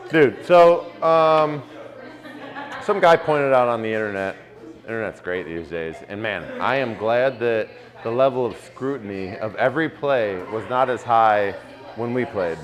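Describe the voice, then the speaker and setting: A man in his forties, a former football player co-hosting a casual sports podcast, joking around and calmly breaking down game plays step by step. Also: mid-range voice, a little slow. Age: 40s.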